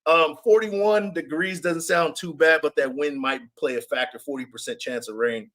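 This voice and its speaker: Raspy-voiced man hosting a sports podcast. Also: on the high side, moderate pace. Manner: animated, repeats himself, reads chat comments aloud. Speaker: podcast host. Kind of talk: casual live-stream chat.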